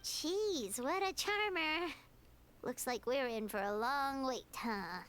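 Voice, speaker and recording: A woman voicing a tiny, bubbly, outspoken fairy companion with a squeaky, childlike voice in a video game. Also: lively intonation, slow, a bit bright, a little hiss or hum, full character voice. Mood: grumbling.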